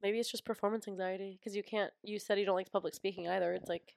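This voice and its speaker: A young woman in casual podcast chat, playful and quick to laugh, often incredulous. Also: mid-range voice, fairly even intonation, gravelly, a little mellow.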